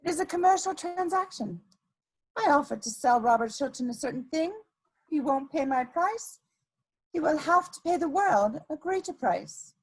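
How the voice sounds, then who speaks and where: Worldly society adventuress, woman performer, high and lilting with big pitch swings; coquettish, theatrical, scheming, for a period comedy play. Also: animated, rough recording. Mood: cool, menacing. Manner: theatrical, coquettish, drawn-out emphasis.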